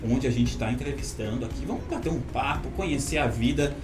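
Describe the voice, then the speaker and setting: A Brazilian man hosts a casual, lively podcast interview. His manner is effusive and full of gushing praise. The room adds clear echo, and music plays behind him. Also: medium pitch, brisk. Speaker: podcast host.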